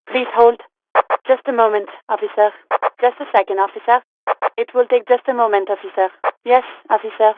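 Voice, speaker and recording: A woman's voice: a little high, no room sound. A woman playing a polite, businesslike police dispatcher in a video game, speaking formally and dryly through a radio filter. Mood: polite, efficient. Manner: formal, courteous, clipped official delivery. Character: polite, businesslike female police dispatcher, patient but dry. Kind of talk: scripted video game voice lines. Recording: tinny, narrow-band two-way radio.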